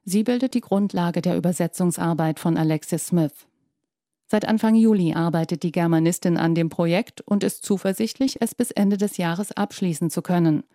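A woman's voice: on the low side, normal speed, warm tone, no room sound, clean studio sound. A woman reporter reading scripted narration for a news feature.

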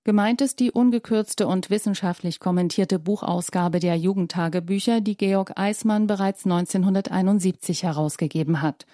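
A woman narrating a broadcast news feature in a measured, scripted way. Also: medium pitch, warm tone, dry recording, clean studio sound. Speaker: news reporter.

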